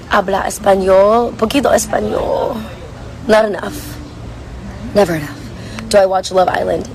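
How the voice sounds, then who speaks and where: A young woman with a hoarse, worn voice chats casually while reading viewer comments on a livestream from a coffee shop. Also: mid-range voice, noisy background. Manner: chatty, rambling, reads comments aloud. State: hoarse, lost voice. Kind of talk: casual chat.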